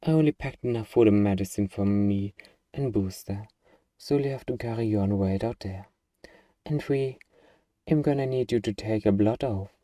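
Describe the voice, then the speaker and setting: A very dark, muffled voice in a dry space, a little way off the mic, plays as an acted role a hardened zombie apocalypse survivor. The delivery is sarcastic and swaggering, with a casual, defiant edge suited to an ASMR audio drama. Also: medium pitch, moderate pace, no echo, distant mic, lightly acted. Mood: firm, commanding.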